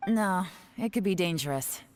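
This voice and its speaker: A cool female secret operative from a video game, acted by a woman. Her voice sits low and she speaks with composed, businesslike assurance, with faint noise behind her. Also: expressive, normal speed, darkish tone, natural voice. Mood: composed, assured.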